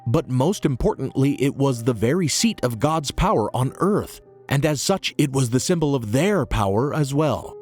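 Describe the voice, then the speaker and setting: A hoarse man narrating a history podcast in a measured, earnest way. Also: medium pitch, expressive, a little mellow, faint music behind. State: hoarse, recovering from illness. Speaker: podcast host.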